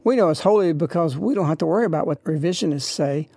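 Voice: medium pitch, lively intonation, fast, vocal fry, dark tone, slightly rough, no echo. A radio host, talking informally and reverently in a rambling devotional introduction to a broadcast.

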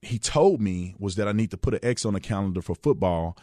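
A man in his thirties from Texas, hosting his own radio show in a relaxed, chatty storytelling way with frequent restarts. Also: on the low side, a little fast, no echo, studio quality. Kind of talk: casual radio monologue.

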